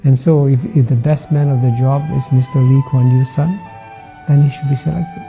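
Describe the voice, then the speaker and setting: A man in his seventies from Singapore with a Singaporean English accent, a former dean giving a measured, reflective talk with frequent "uh" pauses and soft laughs. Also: mid-range voice, fairly even intonation, gravelly, lo-fi sound, soft background music. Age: 70s. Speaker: former dean of a public policy school.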